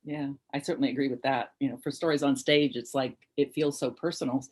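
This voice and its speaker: Female event host, low-pitched voice. Informal, appreciative interviewer on a video call. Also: fairly even intonation, fast, darkish tone, husky.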